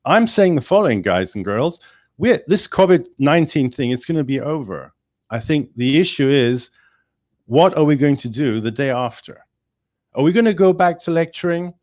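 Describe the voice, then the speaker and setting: A male engineering professor speaking in a webinar discussion, energetic and emphatic, with many restarts. English is not his first language. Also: a little high, no room sound, clean studio sound.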